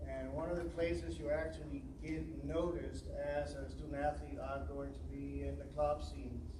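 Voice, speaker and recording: An accented man coaches volleyball and speaks in an earnest, emotional way, stumbling with fillers as he goes. His voice sits a bit high and sounds distant from the mic. An echoey room and steady background noise surround him. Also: normal speed. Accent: non-native English. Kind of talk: speech at a signing ceremony.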